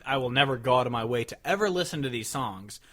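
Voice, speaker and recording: Male podcast host, bright forceful tone, lowish pitch, informal, jokey and profane. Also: animated, fast talker. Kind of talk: casual chat.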